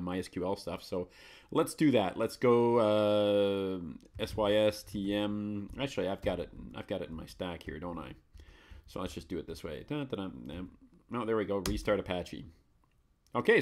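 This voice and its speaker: A man hosting a live computer tutorial, chatty and self-correcting, muttering commands as he types. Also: mid-range voice. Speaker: host of a live Linux tutorial show. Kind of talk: live screencast tutorial, thinking aloud.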